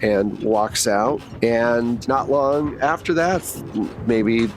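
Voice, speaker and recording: A man's voice: medium pitch, very slow, noisy background. A man narrating a tabletop roleplaying game as game master in a casual, conversational, improvised way.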